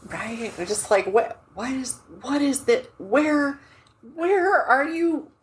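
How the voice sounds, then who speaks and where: An American woman co-hosting a podcast, chatting casually with expressive, laughing asides and restarts. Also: high voice, slow pace. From United States. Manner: expressive, gestures and laughs, trails off mid-thought. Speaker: podcast co-host. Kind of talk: casual chat.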